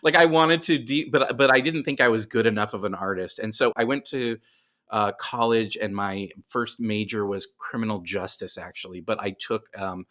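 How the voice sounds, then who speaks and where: Man, American accent, fairly deep pitch, casual storytelling, rambling, self-effacing interviewee. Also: moderate pace, a bit bright. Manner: rambling, self-deprecating, frequent chuckles. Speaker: interview guest, writer.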